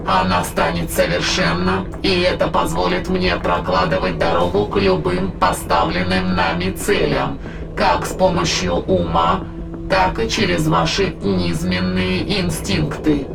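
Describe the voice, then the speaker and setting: An actor voicing a megalomaniac otherworldly spirit in an audio drama, speaking in a grandiose, measured, coldly persuasive way with a gravelly creak. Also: mid-range voice, low-quality recording. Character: megalomaniac otherworldly spirit plotting to conquer humanity. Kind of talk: audio drama acting, long villainous monologue. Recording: music bed under the voice. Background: dramatic background music.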